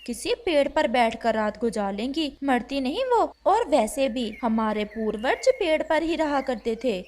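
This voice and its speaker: A woman's voice: high-pitched, lively intonation, a little slow, a little mellow. A woman voicing a scheming, callous young female bird in a cartoon, haughty and dismissive. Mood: cold, manipulative. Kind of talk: Hindi cartoon voice acting.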